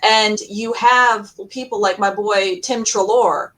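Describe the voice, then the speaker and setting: A woman's voice: medium pitch, fairly even intonation, slow pace, fairly bright tone, rough recording. Gushing, emphatic woman on a fan podcast. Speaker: podcast co-host. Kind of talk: casual fan panel discussion.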